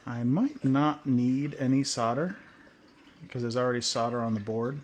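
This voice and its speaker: A man works at a bench and explains each step in a relaxed, thinking-aloud way. His voice sits in a middle range. A faint background noise runs under the recording. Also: mid-range voice, normal speed. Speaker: video host. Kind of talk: hands-on tutorial.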